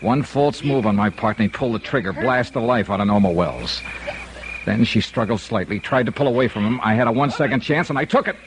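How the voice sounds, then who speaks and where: An actor playing a hard-boiled investigator, narrating his case in clipped, deadpan radio detective style. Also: fairly low pitch, fast talker, background noise. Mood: tense, narrating. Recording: old radio recording with music behind.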